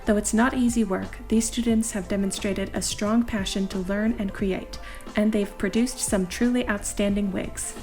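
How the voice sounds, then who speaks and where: A woman reading scripted showcase narration in a measured, formal way. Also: mid-range voice, steady tone, darkish tone. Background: background music.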